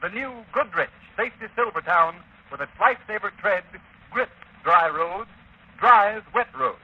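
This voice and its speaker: A man's voice: high-pitched, slightly rough. A man announcing a scripted 1930s radio advertisement with an emphatic, selling delivery. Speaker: commercial announcer. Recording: dull vintage radio recording.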